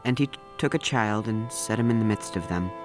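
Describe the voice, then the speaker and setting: An earnest son played by a man reads aloud in a fairly low voice. The solemn, slightly stumbling delivery is from a radio drama, with music underneath. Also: brisk, close to an everyday voice. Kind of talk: old-time radio drama acting.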